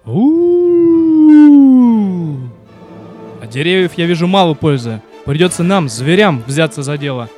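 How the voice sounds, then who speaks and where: A man's voice: a little high, sing-song, unhurried, long pauses, darkish tone. A man playing a fierce, impatient wolf in an audio play, threatening, with music behind him. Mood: menacing, scornful.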